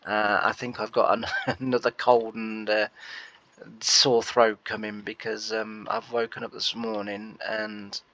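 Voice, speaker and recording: A man's voice: fairly low pitch, little pitch movement, unhurried, pushed and bright, muffled recording. A man, nasal from a cold, rambling through casual gameplay commentary with many fillers. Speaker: gameplay host.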